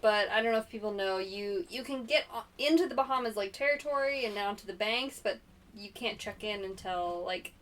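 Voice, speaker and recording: A woman hosting a casual interview, reacting in an expressive, informal way. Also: mid-range voice, brisk. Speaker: podcast host, interviewer. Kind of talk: casual chat. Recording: distant mic with faint background noise.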